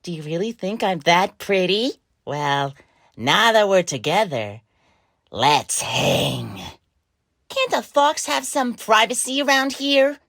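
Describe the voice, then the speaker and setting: A woman's voice: medium pitch, sing-song, slow pace, gravelly, fairly bright tone, no echo, studio quality, full character voice. A woman voicing a coy, flirty, taunting fox animatronic in a video game, playful and teasing. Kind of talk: video game character voice lines.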